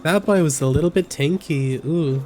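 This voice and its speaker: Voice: mid-range voice, moderate pace, mellow, soft background music. A streamer chatting casually and joking at their own expense during a gaming stream. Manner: chatty, self-deprecating, laughing.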